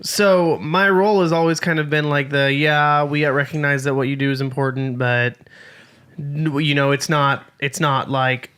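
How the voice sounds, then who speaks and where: A man's voice: fairly high pitch, steady tone, normal speed, fairly bright tone, smooth. A man in his late twenties co-hosting a casual podcast, speaking with dry, self-deprecating humor. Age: 20s. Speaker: podcast co-host. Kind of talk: casual chat.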